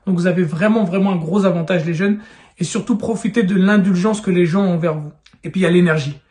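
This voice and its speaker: A man in his thirties with a fairly high voice talks straight to the viewer. He sounds urgent and persuasive, and keeps pressing his point. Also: quick pace, darkish tone. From France. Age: 30s. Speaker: real estate YouTuber. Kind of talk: direct-to-camera advice vlog.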